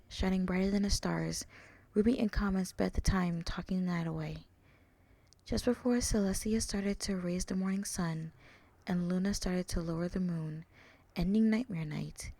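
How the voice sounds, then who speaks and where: A woman with a soft voice reading a story aloud as its narrator. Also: lowish voice, vocal fry, no echo. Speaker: narrator and author.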